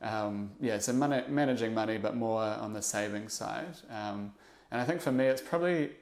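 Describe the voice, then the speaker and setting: A young man from New Zealand with a New Zealand accent, a podcast guest talking in a reflective, halting way with many fillers and restarts. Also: lowish voice, a little mellow. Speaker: interview guest, investor. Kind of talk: casual podcast interview.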